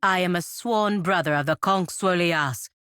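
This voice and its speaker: Dutiful, disapproving female knight-diplomat, fantasy RPG. Deep register, formal measured delivery, dry studio sound. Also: fairly bright tone, clean tone, no echo. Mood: cool, disapproving. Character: proud, stern, dutiful female paladin envoy of a merchant republic. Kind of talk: scripted fantasy video game dialogue.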